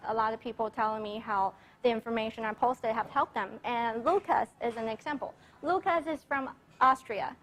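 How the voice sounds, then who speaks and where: A young adult woman from Taiwan giving a prepared talk on stage, speaking earnestly and steadily with pauses and fillers. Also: fairly high pitch. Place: stage at an event.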